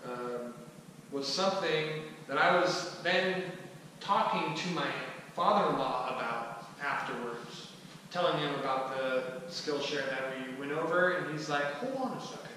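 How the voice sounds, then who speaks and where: A man in his thirties giving an informal, conversational explanatory talk to a small group, with somewhat halting delivery. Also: on the high side, expressive, pushed and bright. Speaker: presenter at a skillshare. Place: large indoor room with wooden floor and mirror. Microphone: distant camera microphone. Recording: echoey hall, far from mic, faint noise.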